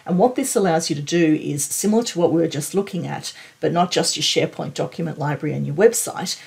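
A woman in her forties explaining a software demo in an informal, enthusiastic, conversational way. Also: deep voice, fast talker, creaky, slightly rough. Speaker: tech presenter.

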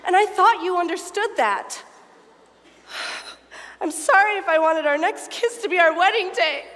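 A woman's voice: very high-pitched, unhurried, fairly bright tone, a little hiss or hum. A woman playing a prim, earnest, wounded teenage schoolgirl in a comedic stage reading, emotional and melodramatic. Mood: hurt, earnest. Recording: reverberant stage hall.

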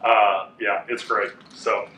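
A man in his thirties teaching a class in a casual, chatty way, with a loud voice that carries and many fillers. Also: on the low side, flat delivery, slow. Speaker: Bible teacher. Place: classroom with a whiteboard, indoors. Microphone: room mic from a fixed camera. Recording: echoey room, voice a bit far off.

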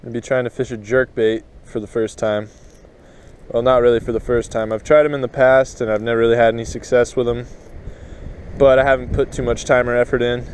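A man's voice: medium pitch, a little fast. A young man casually talking to a head-mounted camera while fishing outdoors by a pond. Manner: relaxed, chatty, thinking aloud while casting. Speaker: fishing vlogger. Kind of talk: casual first-person commentary. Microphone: head-mounted action camera. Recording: windy outdoor action-cam audio. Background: wind noise.

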